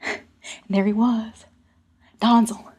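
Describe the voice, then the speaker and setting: A woman's voice: a little high, flat delivery, a little mellow, husky. Woman performing a folksy, earnest young coal miner. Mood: grave, hushed. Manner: folksy rural speech, halting with repeated words. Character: young coal miner recalling a deadly cave-in, plainspoken, earnest. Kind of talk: dramatic first-person storytelling monologue.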